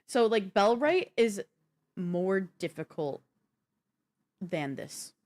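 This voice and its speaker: A woman's voice: mid-range voice, expressive, slow pace, darkish tone. A woman co-hosting a video game podcast, chatting casually and explaining things in an informal way. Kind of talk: casual gaming talk show chat.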